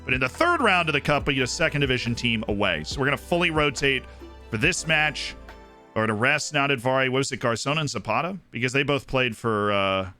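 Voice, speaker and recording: Sharp-voiced chatty male game streamer. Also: medium pitch. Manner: chatty, excitable, answers chat directly. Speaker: streamer playing a football management game. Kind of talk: casual live-stream commentary to chat.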